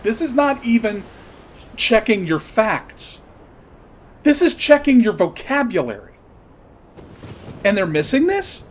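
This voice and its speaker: Emphatic higher-voiced man, noisy recording. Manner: emphatic, repeats and spells out points. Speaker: podcast host commentator. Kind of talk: opinionated monologue commentary.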